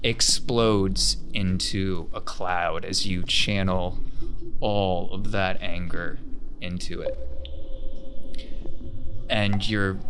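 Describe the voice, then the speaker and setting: Low-voiced male game master, noisy recording. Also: steady tone, drawn-out words, pauses often, a bit bright. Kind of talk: narrating a tabletop roleplaying game. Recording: clear background noise.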